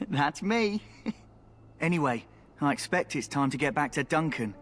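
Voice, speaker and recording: A man's voice: a little high, expressive, a little slow, a bit bright. A man playing a cheeky, flirtatious rogue recruit in a fantasy video game, talking in a wry, colloquial way. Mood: roguish, wry. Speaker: game character. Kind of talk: scripted fantasy game acting.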